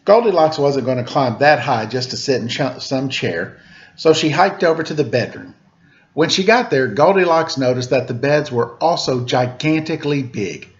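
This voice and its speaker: A man narrating a children's story as a storyteller, with dramatic, deliberate pauses. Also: medium pitch, animated, lo-fi sound.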